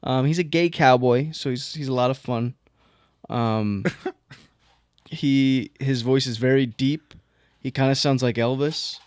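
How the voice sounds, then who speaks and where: A young man chats loosely with his co-host, at an ordinary middle pitch. He ribs his friend and rambles through his week. The sound is close and dry, with no room in it. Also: a little slow, smooth, no room sound. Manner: joking, trash-talking, laid-back. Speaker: podcast co-host. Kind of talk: casual banter.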